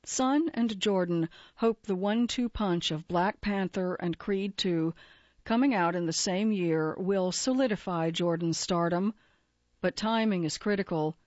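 A woman reads a magazine feature aloud in an even, measured way, at a mid pitch for a woman. The recording is dry, with no room around her voice. The sound is slightly dull and muffled. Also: dry recording. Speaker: article narrator. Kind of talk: scripted narration of a magazine feature.

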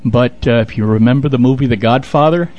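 Male radio co-host, medium pitch, casual and somewhat halting, giving announcements. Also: darkish tone, highs cut off. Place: radio studio.

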